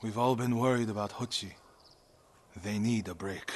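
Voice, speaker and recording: An actor playing an earnest, devout warrior monk of feudal Japan in a video game, speaking solemnly and with feeling. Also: male voice, on the low side, moderate pace, close to an everyday voice. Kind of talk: dramatic video game acting.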